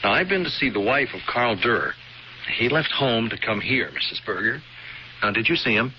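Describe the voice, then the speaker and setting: An actor playing a formal, businesslike police investigator in a measured, official manner, in a 1970s radio mystery. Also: male voice, medium pitch, lively intonation, close to an everyday voice. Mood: grave, official. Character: formal, businesslike male police investigator breaking grim news. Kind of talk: 1970s radio drama acting. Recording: dull lo-fi old radio recording, faint noise.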